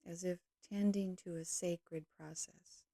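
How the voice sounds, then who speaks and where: A woman leading a guided yoga nidra meditation, speaking calmly and gently in slow, measured short phrases. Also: fairly low pitch, no room sound, studio quality.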